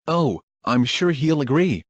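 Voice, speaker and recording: An actor playing a calm, reassuring doctor in a radio drama. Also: medium pitch, unhurried, no echo, lo-fi sound. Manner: reassuring, reasonable. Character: calm, reassuring doctor from an old folks' home.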